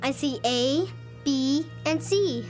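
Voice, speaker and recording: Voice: high-pitched, slow pace, faint music behind. An actor playing an eerie, polite little boy from down the street in an audio drama. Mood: eerily matter-of-fact. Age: child. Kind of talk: audio fiction acting.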